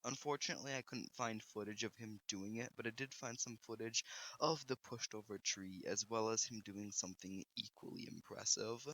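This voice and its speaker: A man's voice: fairly low pitch, fairly even intonation, moderate pace, bright, forceful tone, a little hiss or hum, poor audio. A man narrating a scripted video review in a critical, emphatic manner.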